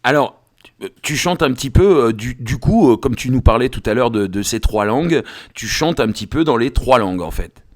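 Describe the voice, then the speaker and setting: A man's voice: medium pitch, animated, normal speed. A man interviewing a musician, asking short questions and adding brief affirmations as he listens.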